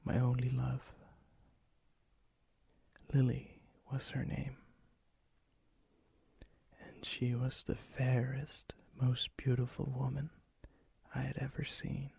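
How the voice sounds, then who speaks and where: A man playing a lonely, gentle, melancholy vampire in an ASMR roleplay, speaking softly and hesitantly, often near a whisper. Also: mid-range voice, monotone, creaky, breathy edge, no room sound, heavily acted. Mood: wistful, sorrowful. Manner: hesitant, wistful, halting. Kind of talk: ASMR roleplay, intimate acted monologue.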